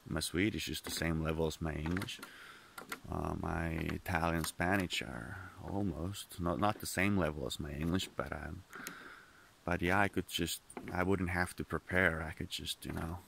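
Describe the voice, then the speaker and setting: A man's voice: low voice, steady tone, normal speed, vocal fry, noisy background. A man rambling in a candid, profane monologue, full of restarts and detours, with Finnish as his first language. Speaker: vlogger.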